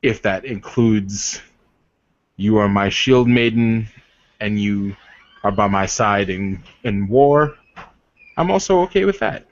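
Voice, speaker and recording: A man playing a proud, commanding werewolf warrior leader in a tabletop game, speaking earnestly and formally. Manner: solemn, deliberate, formal phrasing. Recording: dull, roomy low-fidelity voice recording.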